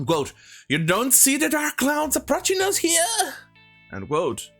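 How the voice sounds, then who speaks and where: An online commentator delivering an opinionated, sarcastic rant in a halting, sweary way, sometimes reading aloud. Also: sits high, wildly swooping pitch, fairly bright tone, soft background music.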